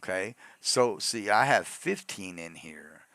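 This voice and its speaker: A man with a gravelly voice narrates a software tutorial in an informal, rambling step-by-step way, often checking in with an "okay". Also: low-pitched, expressive, takes it slowly, no room sound. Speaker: tutorial host.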